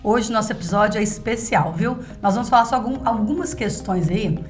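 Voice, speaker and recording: A woman's voice: medium pitch, a little fast. A woman with a Brazilian accent presenting a podcast as host, chatty and conversational, speaking directly to listeners and her guest.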